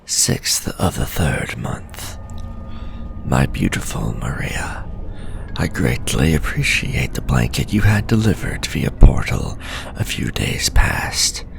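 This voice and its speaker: A very deep, gravelly whisper of an obsessive scholar-mage, played by a man, reading his letters home in formal, measured words. The pitch still rises and falls with feeling under the hush, and a faint hiss sits behind it. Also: very animated, full character voice. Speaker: ASMR storyteller. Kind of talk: whispered ASMR roleplay reading.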